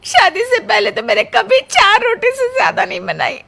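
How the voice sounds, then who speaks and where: A woman's voice: extremely high pitch, huge pitch swings, normal speed, vocal fry, bright, forceful tone, heavily acted. A woman voicing a young, carefree bride who exclaims dramatically and is easily overwhelmed, in a Hindi cartoon story. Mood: wailing, overwhelmed. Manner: exclaiming, melodramatic.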